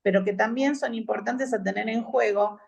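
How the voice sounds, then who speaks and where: A woman's voice: mid-range voice, normal speed, vocal fry, breathy edge. A woman with an Argentine accent, a hospital clinician commenting in a conference discussion, hesitant with many fillers. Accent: Argentine Spanish.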